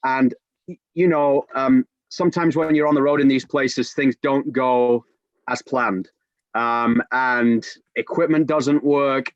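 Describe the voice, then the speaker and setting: A man's voice: mid-range voice, no echo. Man telling a story over a dull video call. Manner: animated anecdote telling with many restarts. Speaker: soccer play-by-play commentator. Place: home room with bookshelves. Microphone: video call webcam.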